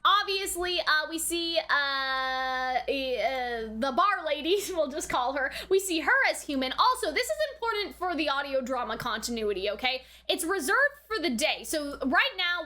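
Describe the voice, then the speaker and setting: A woman's voice: high voice, sharp tone. A woman giving casual, chatty review commentary as a reaction host, often checking in with informal tag questions. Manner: chatty, informal, often tags sentences with "right?" and "you know".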